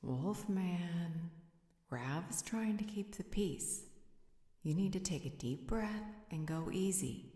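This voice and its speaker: A woman's voice: on the low side, animated, takes it slowly, mellow, breathy edge, studio quality, heavily acted. A woman playing a composed, firm peacemaker in a supernatural audio roleplay drama. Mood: firm, exasperated. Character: calm, firm female peacemaker among vampires and werewolves. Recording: echoey hall with long reverb.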